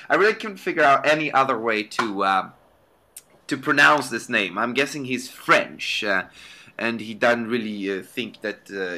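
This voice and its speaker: A young man, Swedish by first language, talks through a game in a loose, self-mocking ramble full of ums. His voice sits a little low but has a bright, tense edge. A faint hiss from the laptop microphone hangs underneath. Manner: rambling, self-deprecating, lots of ums and uhs. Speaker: game caster. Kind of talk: casual solo game commentary. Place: at home at night. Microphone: laptop built-in mic.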